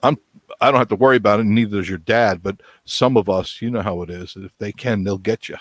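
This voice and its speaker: Sixties man, folksy talker, low voice, dry close sound. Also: fast talker, no room sound. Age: 60s. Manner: chatty, laughs often, folksy. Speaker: guest on a live chat stream. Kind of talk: casual chat.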